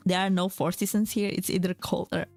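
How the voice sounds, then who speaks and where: Chatty young woman streamer, soft music. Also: lowish voice, level tone, a little fast, gravelly. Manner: chatty, many fillers and restarts, reads chat aloud. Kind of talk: casual chat with chat readers. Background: faint background music.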